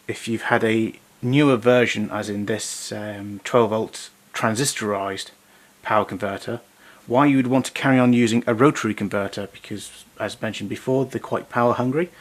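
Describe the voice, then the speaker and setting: A man presenting vintage equipment in an unscripted demonstration, explaining things in a hesitant, pausing way. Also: medium pitch. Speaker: vintage tape recorder collector presenting.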